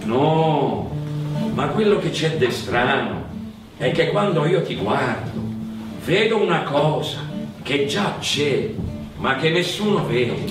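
A man plays a mysterious, portentous sage in a theatre piece. His voice is low and calm, measured in pace, set back from the microphone in a very echoey space. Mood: pondering. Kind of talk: theatrical stage storytelling for radio. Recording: very echoey stage, distant, music.

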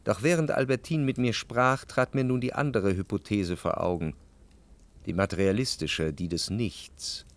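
An actor playing an introspective, doubting gentleman who narrates his love in a literary radio drama. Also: on the low side, normal speed, natural voice. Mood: reflective, doubting. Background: music.

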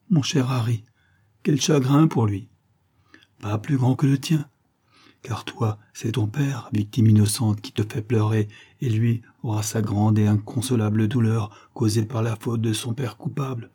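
A storybook old nursemaid, acted by a man, kindly and sorrowful. The voice is soft, mellow and husky. The delivery is slow, calm and solemn, full of motherly pity. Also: on the low side. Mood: tender grief.

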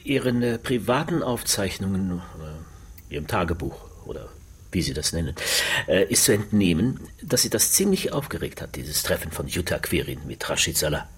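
A man's voice: sits low, big pitch swings, moderate pace, breathy edge, full character voice. A man playing a persistent police detective in a German radio crime drama, reasoning and questioning insistently. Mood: probing.

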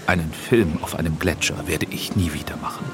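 An actor playing a brooding middle-aged European film director in a radio drama, subdued and pensive. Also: male voice, extremely low pitch, brisk, over music.

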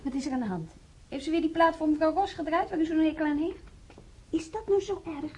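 An actress playing a young female nurse in a radio drama, brisk and girlish. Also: high voice, lively intonation, warm tone. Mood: impatient. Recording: noisy old radio play recording.

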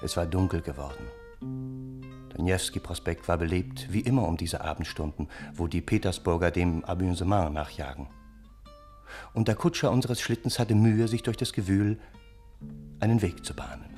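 Low-voiced, courteous gentleman narrator played by an actor. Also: normal speed, music in the background, natural voice. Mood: confiding. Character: smitten, courteous gentleman friend who narrates the tale. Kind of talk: literary first-person radio play narration.

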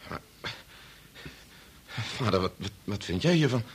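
A man's voice: fairly low pitch, animated, unhurried, breathy edge, exaggerated voice. An actor in a Dutch radio play, playing a bitter, impatient young man who argues and stammers when thrown. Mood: taken aback. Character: bitter, impatient son working on his father's medical experiment.

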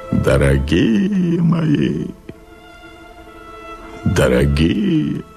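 An actor playing a doomed, anguished rebel leader, declaiming verse impassioned and drawn out in a radio play. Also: male voice, mid-range voice, wildly swooping pitch, vocal fry, mellow, exaggerated voice. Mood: anguished, nostalgic. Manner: impassioned, drawn-out, tragic delivery. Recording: long reverb, music behind.

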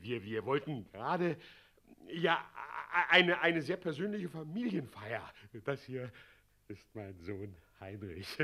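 An actor playing a cowardly old mayor in a German radio drama, stammering and hesitant, with an obsequious politeness. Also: male voice, medium pitch, fairly bright tone, exaggerated voice.

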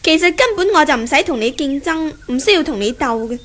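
A woman's voice: sits high, lively intonation, a little fast, a bit bright. An actress playing a proud, unyielding young woman in a Hong Kong Cantonese radio drama, speaking in an earnest, indignant way. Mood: proud, firm.

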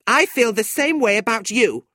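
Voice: medium pitch, very animated, normal speed, darkish tone, no room sound, studio quality, voice pushed up. A narrator voicing a sly, spirited young woman in a storybook, with emphatic, swooping speech. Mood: angry.

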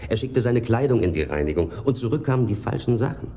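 An actor playing a polite, precise and exasperated hotel manager in a German radio crime drama, courteous yet disdainful. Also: male voice, medium pitch, big pitch swings, fast talker, warm tone, slight background noise. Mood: indignant, dismissive.

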